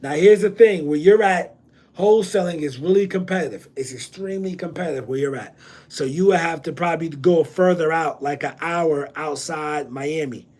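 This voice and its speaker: A man's voice: fairly high pitch, moderate pace, a little mellow. A man from New Jersey hosting a loose livestream Q&A on real estate, slangy and repeating himself with many ums. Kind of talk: casual livestream Q&A.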